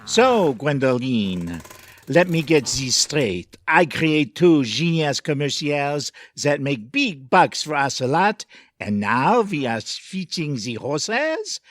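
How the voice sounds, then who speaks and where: A man playing a proud commercial director, speaking deliberate broken English in a comic audio play. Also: on the high side, very animated, fairly bright tone, dry recording, exaggerated voice. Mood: indignant. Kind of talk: comic audio theatre acting.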